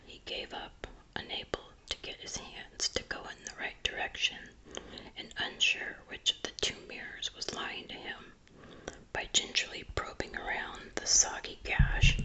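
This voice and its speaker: A woman reading a story aloud in a breathy, unvoiced ASMR whisper. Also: pushed and bright, poor audio. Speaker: audiobook reader.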